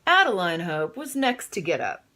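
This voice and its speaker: A woman reading a children's storybook aloud in an expressive, dramatic way. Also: fairly low pitch, normal speed. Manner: animated, dramatic read-aloud delivery. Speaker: storybook reader. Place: in bed, indoors.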